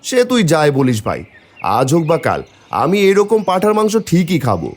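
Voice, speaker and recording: A man's voice: fairly high pitch, huge pitch swings, normal speed, a little mellow. A man voicing a poor, wistful village taro seller and put-upon husband in a cartoon story, plaintive and pleading. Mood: hopeful. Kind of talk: Bengali cartoon story voice acting.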